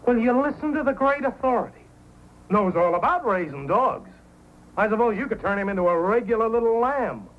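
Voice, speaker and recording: An actor playing a gruff, boastful, mean-tempered dog racer in an old-time radio drama, combative and sneering. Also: male voice, high voice, expressive, fairly quick. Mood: angry sneering. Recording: muffled, noisy old radio recording.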